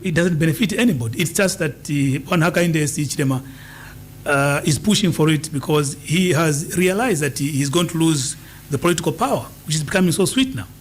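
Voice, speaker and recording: High-voiced emphatic man. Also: expressive, a little fast, slight background noise. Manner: emphatic, campaigning, repeats key points. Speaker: political party leader as studio guest. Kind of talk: political interview answers.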